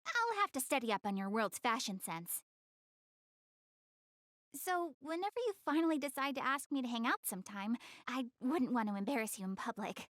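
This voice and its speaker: Cute anime goddess girl, animated intonation, flustered, proud, video game dialogue, very dry close sound. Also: fairly high pitch, normal speed, no echo. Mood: flustered, defensive. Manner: bashful, defensive, stammering when embarrassed. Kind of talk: anime video game voice acting, addressing the player.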